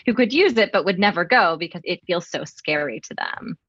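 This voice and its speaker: Low-voiced woman host, dull dry sound. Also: expressive, fairly quick, a bit bright, breathy edge, no echo. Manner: affirming, thoughtful, many "right?" tags. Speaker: interviewer host. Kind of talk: conversational interview.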